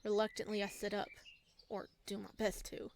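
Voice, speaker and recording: A woman reading a visual novel aloud as a let's player, casual and expressive, with jokey commentary. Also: mid-range voice, normal speed, vocal fry, a little hiss or hum.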